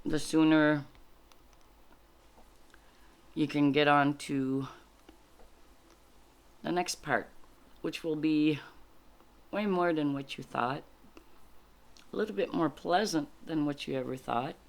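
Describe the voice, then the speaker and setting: Low-voiced woman, native French speaker, halting delivery. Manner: halting, reflective, explains in short phrases. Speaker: spiritual card reader. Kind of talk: informal spiritual guidance, reading cards aloud and commenting.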